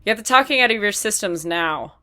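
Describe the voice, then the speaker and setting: An actress playing a hungover, middle-aged homeroom teacher in an audiobook, tired and indifferent, with slightly slurred speech. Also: female voice, mid-range voice, expressive, fairly quick, pushed and bright. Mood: weary, curt. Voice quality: mildly slurred. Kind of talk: acted audiobook dialogue.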